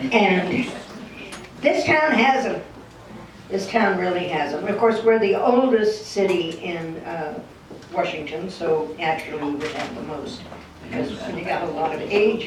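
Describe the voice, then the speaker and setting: Older lady storyteller, folksy n wry, talking to a crowd, far from the mic w/ noise behind. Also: mid-range voice. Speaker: guest speaker, local historian and storyteller. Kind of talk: informal storytelling talk to an audience. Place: echoey room in a historic cabin. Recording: roomy, distant mic with background noise.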